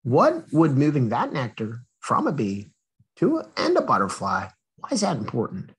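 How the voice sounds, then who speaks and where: A man's voice: mid-range voice, huge pitch swings, moderate pace, breathy edge, rough recording. A man reading a children's picture book aloud expressively, addressing young listeners with questions and asides. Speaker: read-aloud storyteller.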